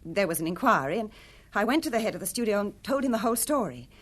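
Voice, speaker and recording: A woman's voice: medium pitch, big pitch swings, fast, a bit bright. A woman playing a retired film star in a radio drama, imperious and defensive, with formal, troubled delivery. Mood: confessional, troubled.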